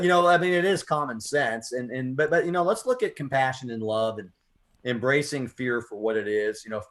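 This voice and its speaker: An American man hosting a poetry radio show, talking casually and earnestly, often repeating words as he thinks aloud. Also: fairly low pitch. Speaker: poet and radio show host. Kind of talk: casual reflective conversation.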